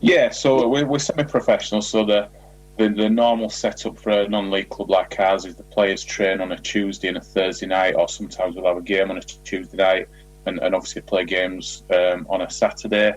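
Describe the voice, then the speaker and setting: A man speaking as a football manager in an interview, giving measured, explanatory answers in a conversational way. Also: low voice, fairly even intonation, rough recording.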